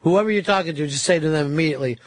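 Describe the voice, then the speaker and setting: A man's voice: on the high side, racing. Profane, needling male radio host. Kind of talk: live talk radio banter. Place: radio studio.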